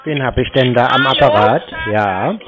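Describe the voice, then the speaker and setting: A man in casual, deadpan phone banter, taunting and mocking as a scam-baiting prankster. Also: mid-range voice, normal speed, crowd noise. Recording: thin phone-line sound.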